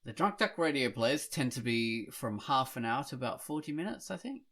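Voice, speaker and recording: A man's voice: medium pitch, sing-song, moderate pace, a bit bright. A man hosting a podcast in casual chat, rambling and thinking aloud as he goes. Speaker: podcast host.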